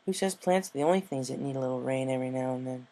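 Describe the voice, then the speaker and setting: A man's voice: mid-range voice, very fast, mellow, natural voice. A man voicing a carefree, cheerful young female pegasus pony in a storybook reading, with a cocky, playful manner. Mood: upbeat, thoughtful. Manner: breezy, playful.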